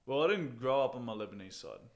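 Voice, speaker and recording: A man, an MMA fighter being interviewed, telling stories in a relaxed, casual way and chuckling at his own jokes. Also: medium pitch, fast talker.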